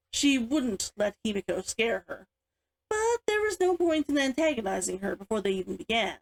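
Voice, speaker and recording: High-pitched, animated woman hosting a read-along. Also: low-quality recording. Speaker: author and read-along host. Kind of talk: animated chat and story read-aloud.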